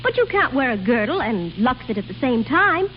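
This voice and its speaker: Perky confident shopgirl in old radio ad, highish voice, muffled sound. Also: sing-song, a little fast, a little hiss or hum. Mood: cheerful, proud. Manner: breezy, confident. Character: chirpy, self-assured young department store salesgirl. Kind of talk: 1930s radio commercial acting.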